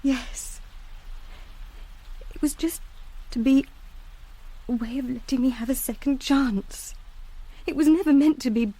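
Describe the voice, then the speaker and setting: Young student in a radio play, soft mellow voice, earnest n guilty, halting, well spoken. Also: female voice, high voice, low-quality recording. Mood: earnest pleading. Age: young adult. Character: earnest, guilt-ridden young woman student pleading for reprieve. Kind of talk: radio drama acting.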